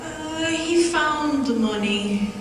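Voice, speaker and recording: Very slow, echoing ghostly wife. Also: female voice, high-pitched, animated, music in the background. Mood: calm, helpful. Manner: slow, eerie, drawn-out calling. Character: ghost of a dead wife, calm and helpful. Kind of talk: old-time radio drama acting. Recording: cavernous reverb, distant, noisy ambience.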